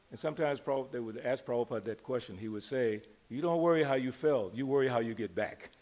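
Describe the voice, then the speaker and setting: A man's voice: mid-range voice, darkish tone. An older man giving an informal temple sermon, conversational yet emphatic, putting questions to his listeners and drawing out key words. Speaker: religious teacher giving a temple discourse. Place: speaking live to a gathering in a temple.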